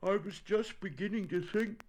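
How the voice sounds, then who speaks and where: Storybook bear, way high and swoopy, anxious, kinda dim, plaintive. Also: male voice, wildly swooping pitch, slow, a little mellow, slight background noise, heavily acted. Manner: plaintive, exclaiming. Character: anxious, dim, greedy but good-natured male bear. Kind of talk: children's storybook character voice.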